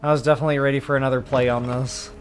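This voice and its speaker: A man's voice: mid-range voice, flat delivery, rapid-fire, a little hiss or hum. A man giving casual, chatty walkthrough commentary as a gaming streamer, addressing viewers informally.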